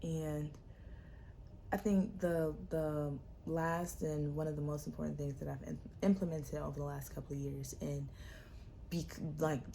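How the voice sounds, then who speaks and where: A young woman hosting a solo podcast, talking in a sassy, emphatic way with a loud, projecting voice. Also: deep voice, creaky, a little hiss or hum, poor audio. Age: young adult. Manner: animated, sassy, emphatic repetition. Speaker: podcast host. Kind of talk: casual solo podcast talk.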